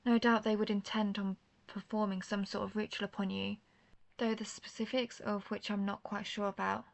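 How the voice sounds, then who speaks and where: A woman's voice: mid-range voice, little pitch movement, normal speed, vocal fry, natural voice. A woman playing an intense, guarded vampire hunter, herself a vampire, in a scripted roleplay audio drama, speaking earnestly and formally straight to the listener. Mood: grave, revealing. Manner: earnest, formal phrasing, addressing the listener directly.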